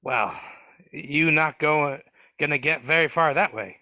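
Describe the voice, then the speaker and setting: A man voicing a grumpy, gruff male dwarf gardener, speaking in a cagey, grumbling way over a video call. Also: a little high, unhurried, pushed and bright, no echo, studio quality, exaggerated voice. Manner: grumbling, cagey, rough working-class speech. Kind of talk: live dramatic reading over video call.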